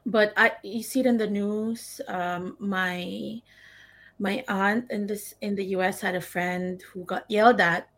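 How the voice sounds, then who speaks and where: A woman's voice: mid-range voice, steady tone, unhurried, a bit bright. A woman in a casual panel conversation, speaking tentatively and repeating her phrases as she goes.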